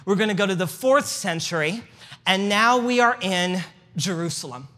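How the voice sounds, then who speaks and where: A man with a Midwestern accent lectures to a room in a loose, joking way, full of asides and fillers. His voice is bright and forward. A long hall reverb trails each phrase. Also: high voice, animated, moderate pace. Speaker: church history speaker. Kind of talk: lecture with slides.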